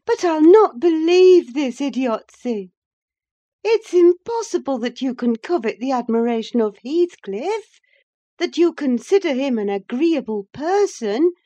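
A fierce young married woman of a classic novel, played by a woman narrator, speaks in a high, forceful voice. She declaims with scorn and command, swooping on her emphatic words. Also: animated, unhurried, lo-fi sound. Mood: scornful, emphatic.

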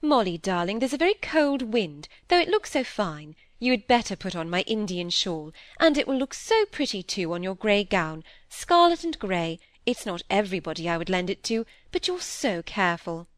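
A woman's voice: mid-range voice, very animated, normal speed, hardly any pauses, no room sound, clean studio sound, close to an everyday voice. A woman voicing a genteel married lady in a period novel, gushing, affected, fawning and self-pitying. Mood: fussy, coaxing. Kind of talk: audiobook character voice in a period novel.

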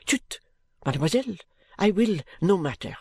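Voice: medium pitch, very animated, moderate pace, darkish tone, breathy edge, dry recording. A narrator voicing a fervent, courtly Frenchwoman with a French accent, earnest and pressing in an audiobook story. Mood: ardent pleading. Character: fervent, intense Frenchwoman seeking work as a lady's maid.

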